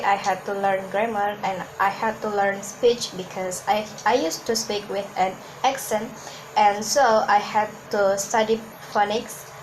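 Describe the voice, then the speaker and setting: A woman's voice: medium pitch, slight background noise, poor audio. A woman from Hong Kong whose first language is Cantonese, giving an earnest talk on public speaking and often restarting her words. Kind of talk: instructional talk to camera.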